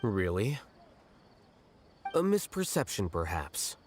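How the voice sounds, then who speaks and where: An actor playing an aloof, rational male scholar in a video game, deadpan, measured and dryly condescending. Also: lowish voice. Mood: cool, detached. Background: faint music.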